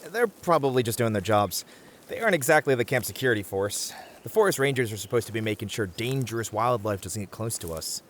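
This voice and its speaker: A man's voice: mid-range voice, expressive, fairly quick, slight background noise, lightly acted. A man playing a cautious, sensible young camper in an ASMR roleplay drama, friendly and reasoning, wry and easily exasperated. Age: young adult.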